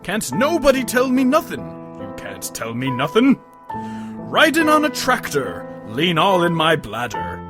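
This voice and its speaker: Man putting on a high, theatrical dramatic reader. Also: huge pitch swings, unhurried, music in the background, heavily acted. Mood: mock dramatic. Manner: exaggerated, theatrical, emphatic. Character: over-the-top dramatic reader of silly rap lyrics. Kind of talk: mock dramatic recitation.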